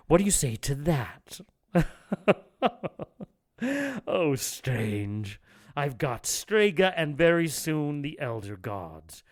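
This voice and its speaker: Pompous, gloating villain bishop. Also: male voice, a little high. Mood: gloating, triumphant. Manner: pompous, condescending, taunting. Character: gloating male villain bishop plotting apocalyptic sacrifice. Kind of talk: audio drama acting.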